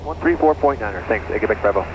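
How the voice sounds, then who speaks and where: A male student pilot reads back instructions quickly in clipped phrases. His radio audio is muffled, with clear aircraft noise behind it. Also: on the low side, animated.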